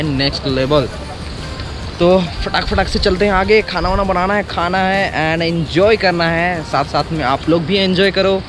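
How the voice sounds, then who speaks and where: High-voiced young man vlogging outdoors. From Jharkhand, India. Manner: excited, chatty, addresses viewers as bhai and yaar. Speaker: travel vlogger. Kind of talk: casual travel vlog narration. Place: outdoors at a forest picnic spot by a waterfall. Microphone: action camera or phone held by the speaker.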